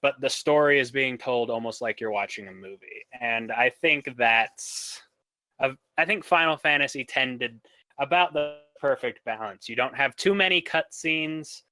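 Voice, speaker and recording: A man's voice: medium pitch, normal speed, bright, forceful tone, faint music behind, rough recording. A man on a podcast panel chatting casually and giving his opinions. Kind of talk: casual chat, opinionated commentary.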